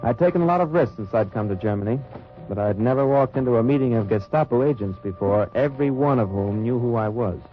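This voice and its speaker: A man's voice: medium pitch, fast talker, lightly acted. A man acting as an American wartime undercover agent, telling his mission in an understated hard-boiled way in a 1950s radio drama. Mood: tense, wry. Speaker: actor and first-person narrator. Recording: muffled old radio recording, music behind.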